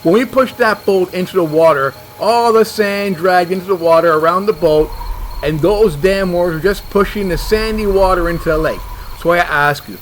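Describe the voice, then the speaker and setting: An actor plays a stubborn, fussy male monster hunter in a comedy audio drama. He is defensive and obtuse, with a slightly high voice over clear background noise. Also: normal speed, close to an everyday voice, poor audio. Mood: fussy, matter-of-fact. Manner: defensive, obtuse, matter-of-fact.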